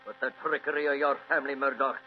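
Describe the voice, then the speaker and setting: A man's voice: mid-range voice, level tone, fast talker, slight background noise, close to an everyday voice. An actor playing a crusty, wily old grandfather who likes a dram, in a 1940s style of radio drama. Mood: indignant, sly. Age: older. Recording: dull, humming old radio recording.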